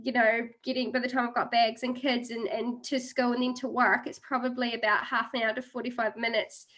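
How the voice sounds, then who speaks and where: A woman in her thirties with a New Zealand accent speaks on a video call. Her voice is bright and sits a little high. She talks in a friendly, chatty way with plenty of "um"s. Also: little pitch movement, brisk. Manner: friendly, chatty, many fillers. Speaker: guest speaker, international marketing officer. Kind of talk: webinar presentation.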